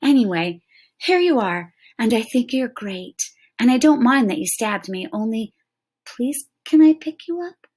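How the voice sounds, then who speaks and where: A woman voicing an eager young boy who talks quickly, pleads and stumbles over his words. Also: on the high side, very animated, darkish tone, husky, pitched above the performer's own voice, full character voice. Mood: eager pleading.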